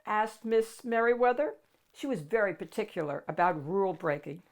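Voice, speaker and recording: Lively woman reading a children's story. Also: medium pitch, normal speed. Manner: expressive, dramatic emphasis. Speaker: children's book read-aloud host. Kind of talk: animated picture-book storytelling.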